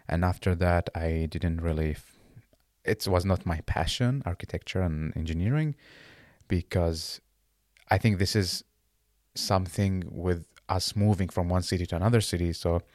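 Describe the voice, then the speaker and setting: A very deep-voiced man in his late twenties, originally from Iraq and not an English speaker. He talks haltingly, restarting phrases as he searches for words. The sound is dry and close, with little room around it. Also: a little mellow, dry recording. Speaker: guest. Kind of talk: casual interview conversation.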